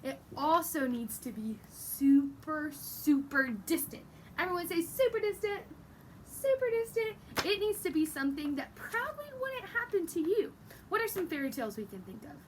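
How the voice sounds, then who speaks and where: Lady teacher doing a kids read aloud, high voice, encouraging, explains stuff to them, little bit of noise. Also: big pitch swings, normal speed. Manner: encouraging, explanatory, addressing children directly. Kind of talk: animated read-aloud lesson for children. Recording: faint background noise.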